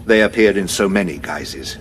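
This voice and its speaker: A grave, formal general who briefs in a measured, heavy way. His voice sits low, with faint music under the audio drama scene. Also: expressive.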